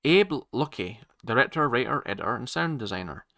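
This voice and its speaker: A man hosts a casual reaction video in a bright, forceful voice. He talks haltingly, rambling and doubling back on his own phrases. The sound is dry, with hardly any room in it. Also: medium pitch, lively intonation, gravelly, no room sound. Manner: halting, rambling, circles back to repeat himself. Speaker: reaction channel host.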